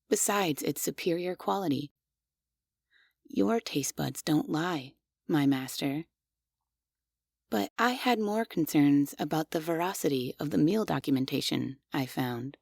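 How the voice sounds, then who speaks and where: A woman as a slow, doting robot maid. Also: lowish voice, gravelly, no echo, clean studio sound, full character voice. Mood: probing. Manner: soft, formal and servile, with quietly unsettling questions. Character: devoted obsessive female android maid, polite but creepy. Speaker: voice actress. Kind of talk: ASMR audio roleplay.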